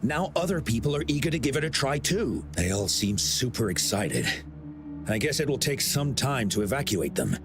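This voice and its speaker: A man's voice: medium pitch, sing-song, normal speed, lightly acted. A man voicing an earnest young organizer who speaks politely. His mid-range voice moves up and down a lot. Game music plays behind him.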